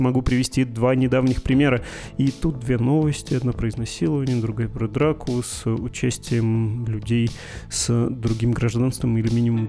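Dark-voiced man answering listener letters. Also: mid-range voice. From Perm region, Russia. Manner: dry, ironic, argumentative. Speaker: podcast host. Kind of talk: reading and answering listener mail.

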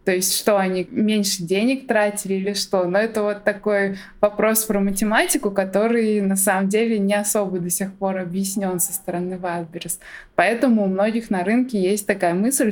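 A woman's voice: mid-range voice, darkish tone. Woman explaining things in conversational interview style. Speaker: interviewed guest explaining a business.

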